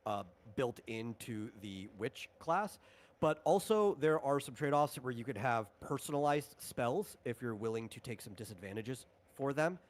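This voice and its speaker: A man with a mid-pitched voice hosts a casual tabletop game session. He explains the rules conversationally, halting and rewording his points as he goes. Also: expressive.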